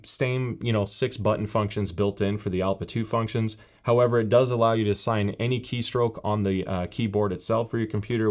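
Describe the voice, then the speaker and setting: A man giving a casual, explanatory walkthrough of a headset's software, with frequent fillers. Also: fairly low pitch. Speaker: tech reviewer. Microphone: gaming headset mic in later part.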